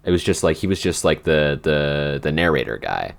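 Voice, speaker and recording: A man with an extremely deep voice speaks as a podcast writer in a relaxed question-and-answer chat. His delivery is halting, and he often searches for the right word. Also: creaky. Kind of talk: casual behind-the-scenes Q&A chat.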